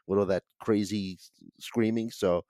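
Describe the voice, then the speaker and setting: A man with a hoarse, raspy voice, hosting a casual sports podcast in a laid-back way with a lot of filler words. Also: lowish voice, steady tone, normal speed, dark tone, no room sound, studio quality. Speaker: podcast host.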